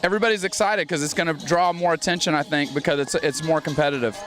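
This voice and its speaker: A tennis director speaking outdoors, halting with many fillers. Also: male voice, a little high, over music.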